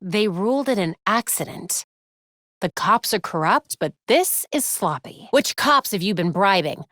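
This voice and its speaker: A woman voicing a young American superheroine in a video game, sharp, determined and dryly witty. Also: medium pitch, lively intonation, a little slow, dry recording. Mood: focused, serious. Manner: dry, wry asides.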